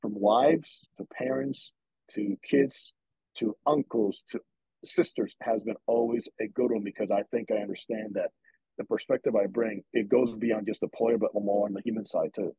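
A man's voice: lowish voice, moderate pace, gravelly, slightly rough, dry recording, rough recording. A man from the Dominican Republic, a former player turned broadcaster, earnestly telling stories in an interview and acting out quotes. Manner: earnest, animated, quotes others in voices. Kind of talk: conversational interview storytelling.

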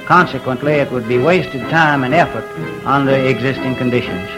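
A man voicing a formal, measured judge who pauses hesitantly as he explains, on an old radio documentary. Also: medium pitch, pitched above the performer's own voice, natural voice. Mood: measured, explaining. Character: measured Southern judge explaining a dropped case. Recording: old radio recording with music.

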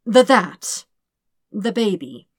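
A woman voicing a fussy, excitable male detective who gets defensive and halting in an audiobook story. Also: mid-range voice, slightly rough, no room sound, clean studio sound, voice pushed up, full character voice. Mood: flustered. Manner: indignant, halting, emphatic. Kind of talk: acted dialogue in fan fiction audiobook.

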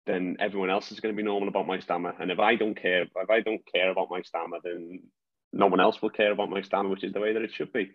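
A young man who stammers, talking casually about growing up with it, with many restarts. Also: low-pitched. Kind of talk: casual conversation. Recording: dull lo-fi sound.

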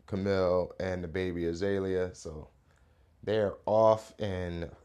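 Hoarse, slightly raspy man podcasting. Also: sits low, slow. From Rochester, New York area. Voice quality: relaxed, rambling delivery with many fillers. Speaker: podcast host. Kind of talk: casual morning podcast chat.

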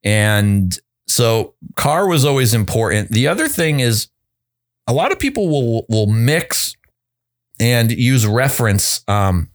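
A podcast co-host and audio editor in a casual chat, rambling with many restarts and a gravelly vocal fry, on a close studio mic. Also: male voice, medium pitch, sing-song, slow pace.

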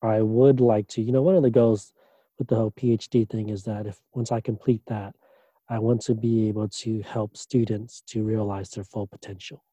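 A man, a doctoral student, answering interview questions in a relaxed way, pausing often with fillers and restarts. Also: on the low side, slightly rough, dry recording. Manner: hesitant, many fillers and restarts.

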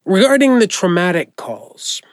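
A man playing a friendly, informal doctor who gently encourages and reassures a patient in an audio drama. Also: sits high, big pitch swings, a little slow, dry recording, clean studio sound. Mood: matter-of-fact. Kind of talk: naturalistic audio drama acting.

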